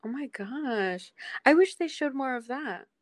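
A woman's voice: high voice, animated, unhurried, dry recording. Informal woman podcaster, dry close sound. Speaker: podcast co-host. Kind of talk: casual chat about a TV series.

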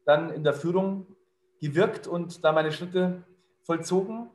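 A middle-aged man talks in a businesslike, fluent way, his voice a little higher than most. The sound comes from an echoey room. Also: a little slow, a little mellow. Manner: fluent, businesslike, many fillers. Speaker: leadership consultant. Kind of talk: conversational interview answer.

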